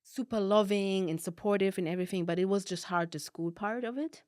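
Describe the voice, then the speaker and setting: A woman in her thirties, raised in Germany by immigrant parents, with a mixed German and Arabic-tinged accent, telling her life story as a podcast guest with animated retellings. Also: mid-range voice, brisk, no room sound, clean studio sound. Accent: mixed German and Arabic-tinged non-native English. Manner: animated, acts out past dialogue, frequent likes and ums. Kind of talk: personal storytelling.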